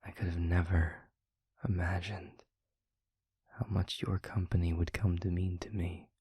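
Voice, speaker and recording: A man playing a tender, eloquent demon lover in an intimate audio roleplay, with a breathy, husky, gravelly murmur and florid, deliberate phrasing. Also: low-pitched, flat delivery, dark tone, full character voice. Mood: tender, earnest.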